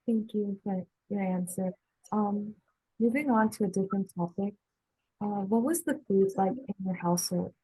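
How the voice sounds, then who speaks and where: A woman's voice: medium pitch, steady tone, moderate pace, mellow, husky, low-quality recording. A woman interviewing a guest over a video call, asking questions politely and hesitantly. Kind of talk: oral history interview.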